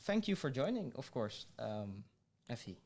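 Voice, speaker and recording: A young man hosting a student podcast, speaking hesitantly with many pauses and giggles. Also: mid-range voice, big pitch swings, darkish tone. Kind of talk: casual interview chat.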